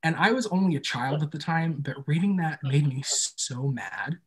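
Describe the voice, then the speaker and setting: Slightly husky man chatting in interview. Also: on the high side, moderate pace. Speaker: podcast creator and guest being interviewed. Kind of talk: casual interview chat.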